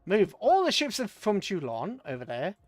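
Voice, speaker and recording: Higher-voiced British man streaming a game. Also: huge pitch swings, moderate pace, no echo, soft background music. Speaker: livestream host playing a strategy game. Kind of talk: casual live game commentary, chatting with viewers.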